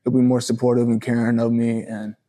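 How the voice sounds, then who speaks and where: A man's voice: mid-range voice, monotone, a little fast, mellow. A man in his early twenties giving a casual, earnest and respectful sports interview as a college football player. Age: early 20s.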